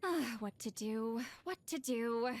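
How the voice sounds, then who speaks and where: A woman's voice: fairly high pitch, animated, slow. A woman voicing a reclusive video game shaman, dry and weary yet easily flustered and defensive. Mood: fretting. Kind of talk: video game character voice lines.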